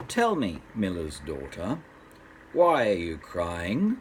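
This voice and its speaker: A man acts out a strange little fairy-tale man who likes to bargain. He speaks slowly and slyly, drawing out his questions with a pitch that swings about. There is a faint background noise on the storybook recording. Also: huge pitch swings, a bit bright, exaggerated voice. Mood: curious, sly.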